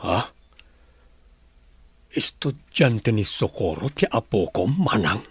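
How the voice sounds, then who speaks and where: A man's voice: fairly low pitch, very animated, takes it slowly, slightly rough, no echo, exaggerated voice. A man playing a courteous, inquisitive doctor and master of the house in a radio drama, with an Ilocano accent. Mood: polite, inquisitive.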